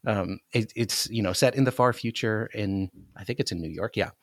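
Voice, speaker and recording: An American man speaks in a fairly low voice with very little room around it. He sounds like a podcast guest in casual conversation, and the recording is dry and close. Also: moderate pace, no room sound. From United States, Alaska. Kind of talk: casual chat.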